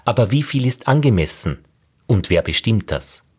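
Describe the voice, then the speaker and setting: A man narrating a radio feature in a measured, questioning way. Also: on the low side, big pitch swings, darkish tone, slightly rough. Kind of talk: scripted narration.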